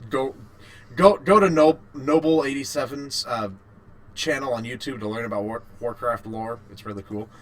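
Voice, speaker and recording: A man co-hosting a podcast, chatting casually like an enthusiastic fan in rambling, halting explanations. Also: mid-range voice. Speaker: podcast co-host.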